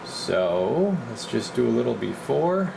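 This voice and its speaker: A man's voice: medium pitch, sing-song, slow, gravelly, background noise. A man working as a radio repair technician, casually thinking aloud through a hands-on bench demonstration in informal, muttered steps. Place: indoor electronics repair workbench.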